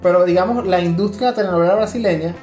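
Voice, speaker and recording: A Venezuelan man speaks in a roomy space with faint music underneath. His voice is mellow and relaxed. He explains things conversationally, as a podcast co-host does. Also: high-pitched, rapid-fire.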